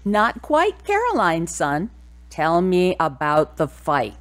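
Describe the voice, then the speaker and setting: A woman acting as a stern, loving middle-aged mother in an audio drama. She speaks very slowly in a lowish voice with lively swings of pitch, probing and admonishing. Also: exaggerated voice. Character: stern but loving mother of a grown son.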